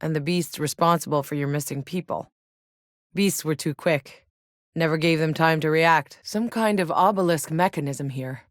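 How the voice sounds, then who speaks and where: Woman playing a tough, low-voiced female soldier. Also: moderate pace, dry recording, lightly acted. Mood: dry, matter-of-fact. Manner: terse, dry, hard-edged. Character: tough, sardonic, foul-mouthed female soldier, weary but determined. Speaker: video game voice actor. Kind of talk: acted video game voice lines.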